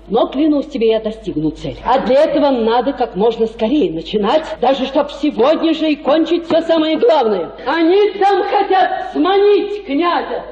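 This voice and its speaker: A scheming middle-aged mother gushes in high, theatrical exclamations. It is a domineering, effusive radio-play turn, heard in an echoey, slightly dull recording. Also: mellow. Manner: theatrical, exclamatory, tearfully gushing. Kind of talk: radio drama acting.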